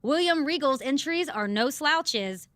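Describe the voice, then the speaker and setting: A woman's voice: high-pitched, expressive, measured pace, fairly bright tone, no room sound, clean studio sound. A woman playing a teasing wrestling broadcast host, delivering scripted panel commentary in a game. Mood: teasing, brisk.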